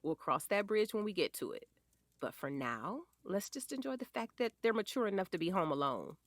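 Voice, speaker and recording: A woman's voice: mid-range voice, sing-song, brisk, no room sound. A woman playing an affectionate, sassy wife and mother in an audio drama, with playful delivery. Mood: playful, fond.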